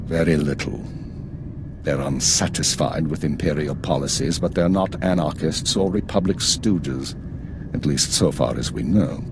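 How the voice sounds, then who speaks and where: An actor playing a stern, weary spymaster in a video game, measured, formal and dry. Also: male voice, rumbling low, background noise. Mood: grave, instructing. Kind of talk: cinematic video game acting.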